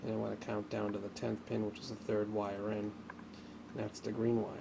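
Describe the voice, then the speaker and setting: A man giving an unscripted hands-on tutorial, speaking haltingly as he thinks aloud while working, as a hobbyist would. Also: fairly low pitch, completely flat delivery, vocal fry. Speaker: hobbyist demonstrating an electronics repair. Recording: noticeable background noise.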